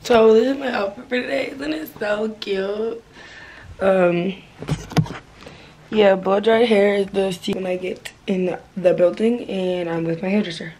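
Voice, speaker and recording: A young woman talks casually into a phone held close, telling her viewers about her day. The recording carries a clear layer of noise, and her voice sits at an ordinary middle pitch. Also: steady tone, a little slow, mellow, poor audio. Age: young adult. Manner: chatty, direct, addresses viewers as y'all. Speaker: vlogger. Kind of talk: casual vlog talk to camera. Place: apartment indoors. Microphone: phone camera held close.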